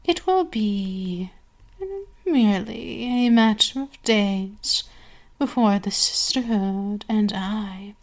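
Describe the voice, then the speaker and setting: A woman performs as a domineering vampire queen in an intimate ASMR roleplay. She speaks very slowly and haughtily at a middling female pitch, letting pauses linger and slipping into breathy whispers. She is seductive and cruel, every phrase measured like a command. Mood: haughty scheming.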